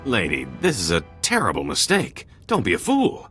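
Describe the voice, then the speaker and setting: A man's voice: lowish voice, wildly swooping pitch, normal speed, a bit bright, faint music behind. A man playing an oily, self-important alien schemer in a video game, pompous and wheedling. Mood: indignant. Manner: wheedling, pompous, swooping delivery.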